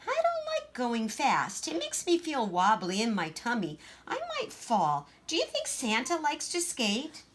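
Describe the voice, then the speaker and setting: Woman as a timid, high-voiced little girl. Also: wildly swooping pitch, measured pace, few pauses, fairly bright tone, slight background noise, full character voice. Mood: hesitant. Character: quiet, timid, thoughtful smaller girl. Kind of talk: children's storybook character voice.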